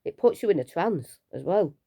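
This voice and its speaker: A woman, unwell and low on energy, talking casually and rambling a little in a personal vlog from home. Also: animated, normal speed, vocal fry, warm tone. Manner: chatty, rambling, self-deprecating with small laughs. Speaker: vlogger sharing her cancer treatment.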